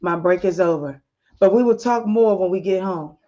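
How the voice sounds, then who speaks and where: Woman doing a calm, soothing husband. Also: fairly low pitch, natural voice. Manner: slow, soothing. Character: calm, loving husband, adult man. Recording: slightly muffled audio.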